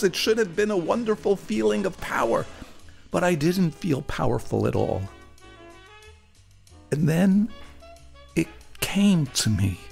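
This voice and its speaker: A man's voice: on the high side, gravelly, darkish tone. A man narrating a scripted first-person memoir like a radio storyteller, theatrically, drawing out words for emphasis. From Brooklyn, New York, United States.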